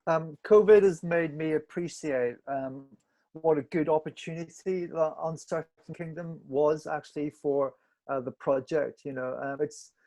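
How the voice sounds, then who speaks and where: Halting man with a mellow voice. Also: a little high, fairly even intonation. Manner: halting, searching for words, stammered restarts. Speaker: film director on a panel. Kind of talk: reflective panel discussion.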